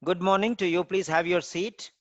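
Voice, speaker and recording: A man, an IELTS trainer, playing a formal examiner in a mock speaking test and asking measured questions. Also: sits high, steady tone, no room sound, lo-fi sound. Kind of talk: mock exam interview.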